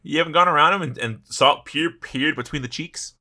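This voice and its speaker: A man's voice: a little high, brisk, sharp tone. A man co-hosting a podcast in casual comedic banter, teasing and swearing freely. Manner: teasing, profane. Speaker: podcast co-host.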